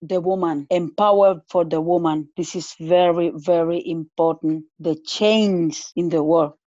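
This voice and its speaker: Female student from Colombia, slow and careful, lo-fi dry recording. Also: fairly low pitch, steady tone, warm tone, dry recording. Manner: slow, careful learner English. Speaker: ESOL student. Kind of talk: casual self-introduction. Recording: dull lo-fi recording.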